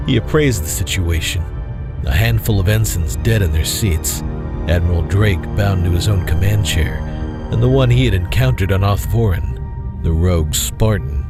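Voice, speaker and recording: A man's voice: sits low, expressive, normal speed. A man narrating a scripted story in a dramatic audiobook style. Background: loud music.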